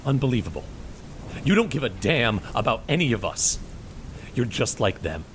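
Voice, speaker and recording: A man's voice: medium pitch, sing-song, moderate pace, a little hiss or hum, lightly acted. An actor playing a frustrated townsman who confronts and reproaches the lead in a fan audio drama. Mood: angry. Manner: calling out, reproachful.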